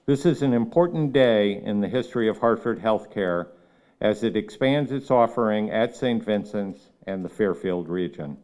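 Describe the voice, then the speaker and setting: A man leading a solemn opening reflection at a ceremony, speaking in a measured, reverent way that falls at the end of each phrase. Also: fairly low pitch, animated, a little mellow. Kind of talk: solemn spoken prayer. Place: ceremony at a medical center, crowd gathered.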